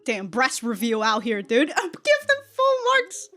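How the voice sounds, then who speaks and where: A woman's voice: on the high side, wildly swooping pitch, unhurried, pushed and bright. A woman giving casual, slangy, sweary and giggly reaction commentary as host of a reaction video.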